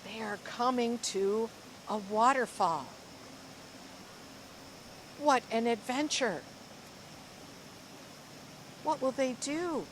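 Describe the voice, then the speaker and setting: A woman reading a picture book aloud to young children, with expressive, deliberate and dramatic phrasing. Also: a little high, a little hiss or hum. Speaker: school librarian reading story time.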